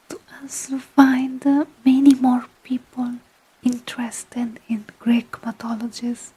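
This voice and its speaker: Soft whispering Italian woman. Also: fairly high pitch, slightly rough, low-quality recording. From Italy. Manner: whispery, hesitant, many fillers. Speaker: vtuber ASMR streamer. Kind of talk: soft-spoken ASMR storytelling and chat.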